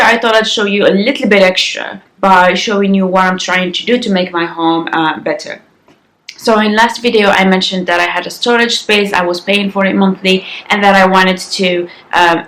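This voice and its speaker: A woman in her thirties, slightly raspy, chatting casually to camera at home with many ums and restarts. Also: mid-range voice, fairly bright tone, echoey room, poor audio. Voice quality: raspy in the morning. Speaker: vlogger. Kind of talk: casual vlog chat to camera. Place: sunlit living room at home, indoors. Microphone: compact camera on-board mic.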